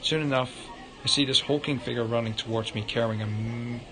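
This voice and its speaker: A man livestreaming a visual novel, reading the narration aloud in a halting way and stumbling over words. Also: medium pitch, steady tone, background noise. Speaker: game livestreamer.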